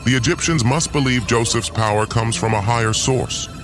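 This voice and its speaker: A man's voice: medium pitch, moderate pace, roomy sound, over music, natural voice. A man voicing a scheming alien overlord who poses as a god, commanding and contemptuous, in a science fiction audio drama. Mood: commanding, calculating.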